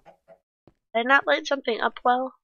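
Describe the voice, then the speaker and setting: A woman's voice: sits high, steady tone, normal speed, pushed and bright, no echo, dull audio. A woman with a stuffy, congested nose, rambling casually with lots of ums while commenting on her gameplay. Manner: rambling, many ums and restarts, chatty. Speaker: Minecraft let's play creator.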